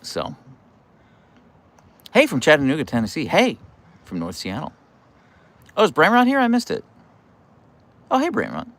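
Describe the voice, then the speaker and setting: A middle-aged American man hosting a casual livestream, playful and wry, reading out comments and answering in halting starts. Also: on the high side, wildly swooping pitch, a bit bright. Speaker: livestream host.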